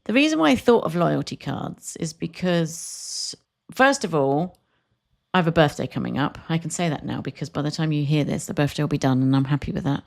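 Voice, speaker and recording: A woman's voice: sits low, animated, a little fast, gravelly. A woman co-hosting a podcast, chatting casually and rambling, laughing easily. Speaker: podcast co-host. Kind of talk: casual chat.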